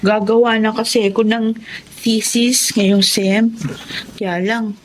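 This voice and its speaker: Actress as a slow, coaxing younger sister. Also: female voice, mid-range voice, level tone, slight background noise, close to an everyday voice, low-quality recording. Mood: hesitant, coaxing. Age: young adult. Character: hesitant, coaxing younger sister, a college student. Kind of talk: Tagalog radio drama acting.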